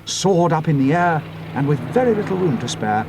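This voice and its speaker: A man narrating an adventure audiobook in a measured storytelling manner. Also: a little high, very animated, background noise.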